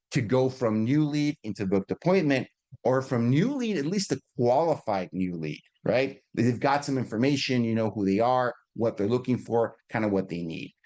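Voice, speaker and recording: A middle-aged man presents to an online group over a video call. His voice sits at a medium pitch, and he explains things informally like a teacher, doubling back on words and often tagging points with a quick check-in. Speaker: guest presenter at a business webinar.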